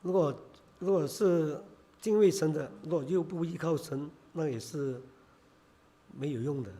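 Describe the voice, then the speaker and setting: Hoarse man preaching, mellow voice. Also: a little high. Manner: halting, many filler sounds. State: hoarse from a cold. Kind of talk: sermon in Chinese.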